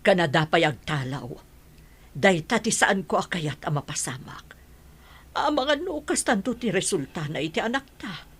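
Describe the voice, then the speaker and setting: A woman's voice: on the low side, very animated, moderate pace, breathy edge. A woman playing a worried, anxious mother with an Ilocano accent in a radio drama. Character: worried mother anxious about her adopted child's future.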